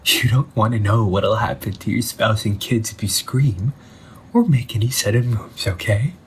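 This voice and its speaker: A man playing a vengeful undead older brother in an audio roleplay, menacing yet wounded, speaking in a theatrical, taunting way with drawn-out pauses. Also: lowish voice, slight background noise, natural voice. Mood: threatening, mocking. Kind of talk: scripted audio roleplay monologue addressed to the listener.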